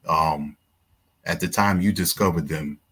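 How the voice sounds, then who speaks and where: A man's voice: low voice, a little slow, a bit bright, slight background noise. A man from New York City hosting a podcast, asking easygoing, encouraging interview questions. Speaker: podcast host. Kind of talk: casual interview.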